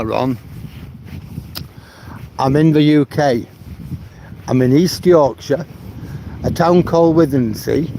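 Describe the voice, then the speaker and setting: A man's voice: on the high side, poor audio. A man in his seventies from Manchester with a Mancunian accent, chatting on a live stream and greeting viewers by name as he walks by the sea. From Manchester, England. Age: 70s. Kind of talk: casual live-stream chat reading viewer comments. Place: seaside promenade outdoors at night. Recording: loud wind noise on the mic. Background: wind and sea noise.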